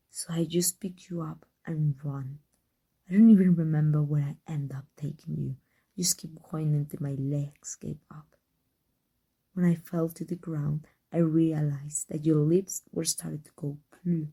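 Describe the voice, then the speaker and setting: A woman with a Mexican accent playing a proud, secretly devoted villainess in an intimate ASMR roleplay, halting and emotional. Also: low-pitched, fairly even intonation, dark tone, breathy edge, full character voice. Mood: hushed, pained confession. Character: proud, flustered, secretly devoted villainess with life-transfer power.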